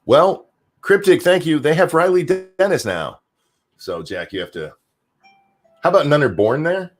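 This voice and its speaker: A man hosting a podcast, chatting casually and reading news articles aloud with a jokey, animated delivery. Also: a little high, unhurried, faint music behind. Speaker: podcast host.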